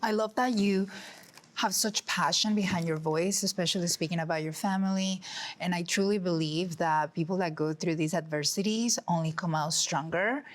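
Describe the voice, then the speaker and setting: Woman co-host, slightly low voice, warm and affirming, speaks personally. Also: normal speed. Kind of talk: casual interview conversation.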